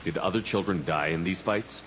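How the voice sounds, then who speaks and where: A man's voice: low-pitched, moderate pace, a little hiss or hum. An actor playing a hardened male commander who asks terse, composed questions. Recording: reverberant with loud game music.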